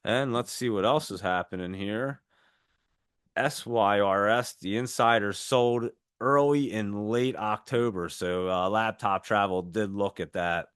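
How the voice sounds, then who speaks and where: A man's voice: lowish voice, unhurried, a bit bright, clear, resonant tone, dry recording, studio quality. A man with a heavy New Jersey accent, casually chatting through stock picks and viewer messages on a live trading stream. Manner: chatty, reads viewer messages and ticker symbols. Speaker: stock trading livestream host. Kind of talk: casual live market chat.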